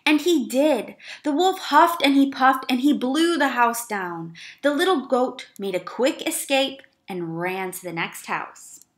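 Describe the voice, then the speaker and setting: A woman with a fairly high voice narrates a children's picture book. She reads like a teacher, expressively, placing careful emphasis on words for young listeners. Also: lively intonation, measured pace, hardly any pauses. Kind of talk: children's read-aloud narration.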